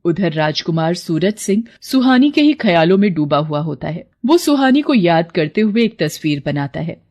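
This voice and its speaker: A woman's voice: fairly low pitch, sing-song, moderate pace, darkish tone. A woman narrator reading a scripted fairy tale in storybook style.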